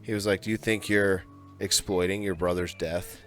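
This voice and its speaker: A young man rambles through casual podcast chatter in a lowish voice, full of fillers and restarts. Faint music sits underneath. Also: fairly even intonation, normal speed, fairly bright tone. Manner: rambling, loose, filler-heavy. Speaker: podcast co-host. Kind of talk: casual chat.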